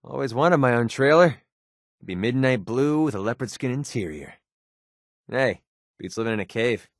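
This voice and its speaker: A man's voice: medium pitch, expressive, moderate pace, no echo, clean studio sound, natural voice. A man with an American accent playing a sarcastic, wisecracking young mercenary companion in a video game. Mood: wry, sarcastic. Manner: sardonic, wisecracking, colloquial. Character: wry, sarcastic young male wasteland mercenary. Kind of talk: video game companion dialogue, naturalistic acting.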